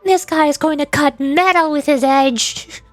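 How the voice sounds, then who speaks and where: A young woman with a high voice comments casually on a game as a VTuber streamer. She is playful and teasing, rambling as she goes. The sound is close and dry, with music underneath. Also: unhurried, no room sound.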